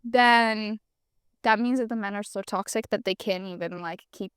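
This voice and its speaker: Casting note: mid-pitched woman from Massachusetts, smooth clear voice, hedging podcast co-host, dry close mic. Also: moderate pace, fairly bright tone, dry recording. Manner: hesitant, hedging. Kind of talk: casual chat.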